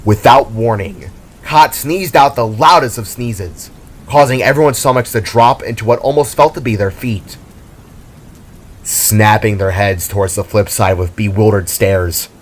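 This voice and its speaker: A man's voice: fairly low pitch, a little slow. A man narrating a scripted fantasy adventure story in a dramatic style. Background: music.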